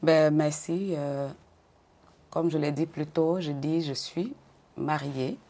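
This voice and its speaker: An older woman from Côte d'Ivoire answering interview questions in a measured way, often repeating words as she goes. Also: low voice, steady tone, warm tone. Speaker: interview guest.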